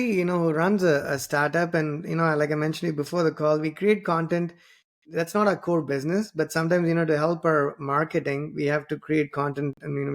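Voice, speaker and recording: A man's voice: on the high side, gravelly. A man from southern India, a native Tamil speaker, hosting an interview in a rambling conversational way with many fillers and restarts. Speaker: podcast host.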